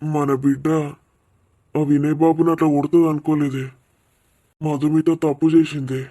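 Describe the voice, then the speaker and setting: A man's voice: on the high side, flat delivery, normal speed, warm tone. A man playing an exasperated middle-aged father in a cartoon. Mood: exasperated, scolding. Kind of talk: animated cartoon dialogue.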